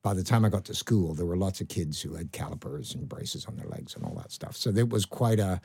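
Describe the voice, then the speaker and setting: A man in his seventies with a Canadian accent, speaking as a guest in a conversational interview, rambling with restarts and trailing off quietly. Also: deep voice, very animated, fairly quick, vocal fry, husky, dry recording. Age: 70s. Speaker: guest, medical professor.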